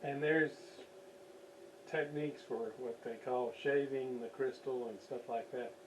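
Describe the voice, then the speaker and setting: A man giving an unscripted hands-on demonstration at a workbench, halting as he thinks aloud while he works. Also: medium pitch, steady tone. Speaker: radio restoration hobbyist, demonstrator. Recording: distant mic with faint background noise.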